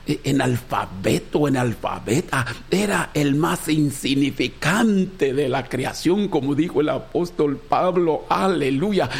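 Latin american guy preaching, raspy creaky voice kinda high, keeps exhorting and repeating himself. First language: Spanish. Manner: repetitive, exhortative, addressing listeners as brother and sister. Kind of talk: impassioned extemporaneous sermon.

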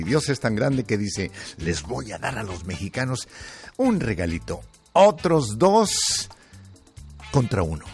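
A man hosting a radio call-in show, joking and playful, stretching out words for effect. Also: on the low side. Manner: playful, joking, drawn-out shouts. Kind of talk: lively radio call-in chat. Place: Los Angeles studio.

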